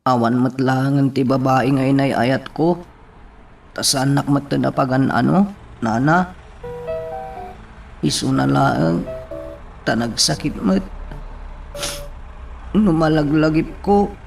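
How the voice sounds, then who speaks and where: A man's voice: medium pitch, slow pace, vocal fry, long pauses, far from the mic, faint music behind. An actor playing a heartbroken, bashful young man grieving a lost love in an Ilocano radio drama.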